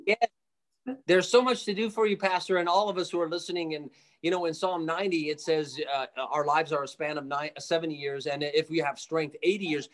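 A man's voice: on the high side, fairly even intonation, a little fast, fairly bright tone, low-quality recording. A man from Jerusalem preaching conversationally as a radio guest, emphatic and exhortatory, driving points home with repeated phrases. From Jerusalem, of Armenian family. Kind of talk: fervent preaching in a conversational interview.

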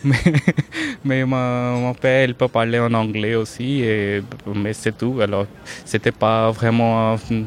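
North Indian man, Hindi native, halting non-native French, mid pitch, faint noise behind. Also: flat delivery. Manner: hesitant learner's French, many restarts and fillers. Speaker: interview guest. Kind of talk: casual conversational interview.

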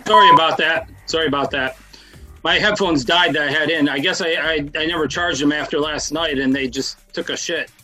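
A man's voice: fairly high pitch, fairly quick, pushed and bright. A man hosting a music podcast, chatting casually and thanking listeners in a friendly way. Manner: friendly, thankful, laughs easily. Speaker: podcast DJ host. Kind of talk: casual radio show chat.

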